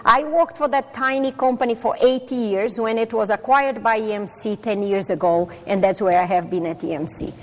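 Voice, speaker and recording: A woman's voice: a little high, normal speed, few pauses. A middle-aged woman from Brazil with a Brazilian Portuguese accent, a native Portuguese speaker, giving a chatty, self-deprecating conference talk with many fillers. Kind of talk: personal talk to a live audience. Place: conference hall. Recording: long reverb of a hall.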